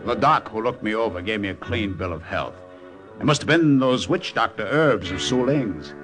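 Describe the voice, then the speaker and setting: Radio drama wartime agent, played by a man: resourceful American, lowish animated voice, bold storytelling delivery over music. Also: moderate pace, lightly acted. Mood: narrating. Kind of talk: 1950s radio drama narration and acting.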